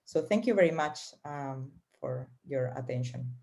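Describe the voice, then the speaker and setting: A woman's voice: extremely low pitch, expressive, unhurried, mellow. Hesitant woman closing a conference talk. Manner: hesitant, many fillers. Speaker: conference speaker. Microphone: video call.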